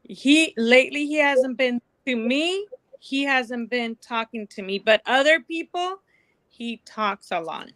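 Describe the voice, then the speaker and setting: A woman taking part in a group session over a video call, answering slowly and hesitantly. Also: sits high, a bit bright, dry recording.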